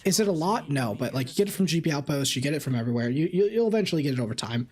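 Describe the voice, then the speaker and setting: Husky-voiced streamer answering chat questions. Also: male voice, fairly high pitch, faint music behind. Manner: animated, reads chat questions and answers quickly. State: slightly raspy voice. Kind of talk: live-stream Q&A.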